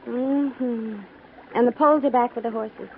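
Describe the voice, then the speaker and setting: A woman's voice: fairly high pitch, steady tone, unhurried, gravelly. An American woman acting a self-reliant, playfully teasing frontier woman in a 1950s radio drama, with a wry, easygoing manner. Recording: muffled 1950s radio recording, faint noise.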